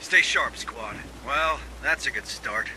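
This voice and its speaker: An actor playing a gruff, protective Marine sergeant, terse and commanding, in a video game. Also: male voice, mid-range voice, takes it slowly, pushed and bright, a little hiss or hum. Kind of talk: scripted game military dialogue.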